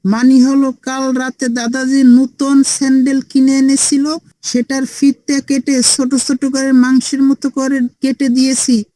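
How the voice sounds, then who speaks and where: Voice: high voice, monotone, a little slow, dark tone, slight background noise, rough recording. A performer voicing a cheeky, scheming little boy in a comedy cartoon, talking like a cocky salesman. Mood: smug.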